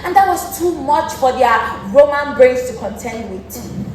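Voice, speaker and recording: Stage drama queen played by a young woman, Nigerian accent, defiant and emphatic, high register, faint noise in a big echoing hall. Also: expressive, a little slow, distant mic. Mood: aggrieved, defiant. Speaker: student stage actor. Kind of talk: stage play acting. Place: large indoor theatre stage. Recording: echoey theatre stage from afar, faint noise.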